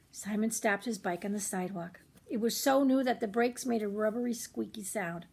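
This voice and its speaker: Husky older woman reading a children's storybook. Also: medium pitch, normal speed. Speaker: children's read-aloud host. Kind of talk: expressive storybook narration with chatty asides to children.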